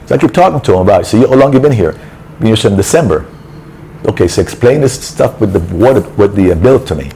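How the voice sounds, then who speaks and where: A man's voice: on the low side, fast talker, slight background noise, poor audio. A man venting in a casual storytelling rant, acting out quoted back-and-forth with exasperation. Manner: exasperated, animated retelling with quoted dialogue. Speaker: travel vlogger. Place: apartment building in a city.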